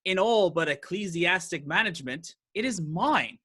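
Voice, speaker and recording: An actor playing an imperious, possessive baron and high sheriff in a radio play, pompous and commanding. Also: male voice, expressive, normal speed, sharp tone, breathy edge, full character voice.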